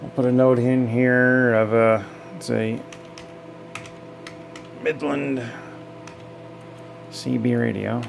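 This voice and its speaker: A man's voice: medium pitch, fairly even intonation, slow pace, gravelly, long pauses, background noise. A man giving a casual, off-the-cuff technical demonstration in a folksy, hesitant way, thinking aloud as he goes. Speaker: hobbyist demonstrator.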